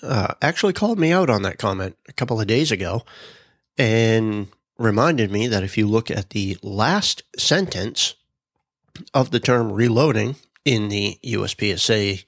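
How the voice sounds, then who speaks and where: A man's voice: fairly low pitch, moderate pace, no room sound, studio quality. A man hosting a solo podcast, a little stuffed up from a cold, talking casually with frequent ums while reading out meeting notes. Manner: relaxed, many ums and uhs, reads out minutes. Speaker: podcast host.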